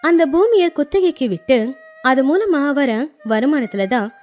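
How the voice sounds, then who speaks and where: Woman storyteller, higher-pitched, reading scripted village moral tales with music behind her. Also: sing-song, moderate pace, a little mellow. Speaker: storyteller narrator.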